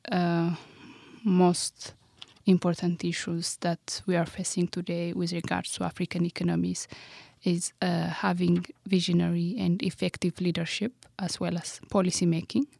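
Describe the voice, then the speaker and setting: Ethiopian-accented woman, researcher, lowish voice, fairly even intonation, measured explanation. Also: vocal fry, no echo. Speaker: research scholar. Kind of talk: self-introduction about research.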